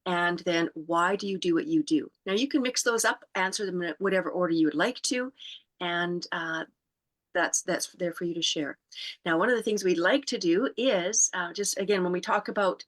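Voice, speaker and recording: Calm, lower-voiced woman co-hosting. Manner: calm, unhurried, many ums. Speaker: co-host. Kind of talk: guiding group instructions.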